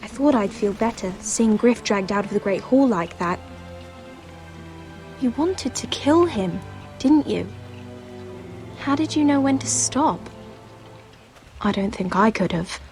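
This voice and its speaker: A young noble sister in a fantasy game drama. Her voice sits a little high and she speaks earnestly, with an anxious, pleading edge. Faint music plays underneath. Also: lively intonation, a little slow, pauses often, a little mellow. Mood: uneasy, thoughtful. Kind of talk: video game dramatic acting.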